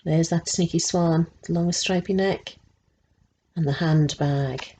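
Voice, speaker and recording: A woman's voice: deep voice, fairly even intonation, takes it slowly, warm tone, slight background noise. A woman storyteller reading a picture book aloud to children, adding explanatory asides and putting questions to her young listeners. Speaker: resident story teller.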